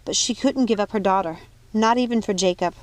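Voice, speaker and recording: A woman's voice: medium pitch, fairly quick. A woman reading an audiobook as narrator, in a dramatic scripted storytelling style. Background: music.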